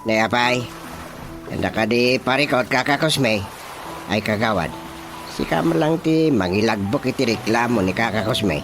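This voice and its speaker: A man playing an easily flustered village chairman in a radio drama comedy, with an Ilocano accent and exclamatory, drawn-out reactions. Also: mid-range voice, lively intonation. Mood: flustered, questioning. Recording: music under the voice.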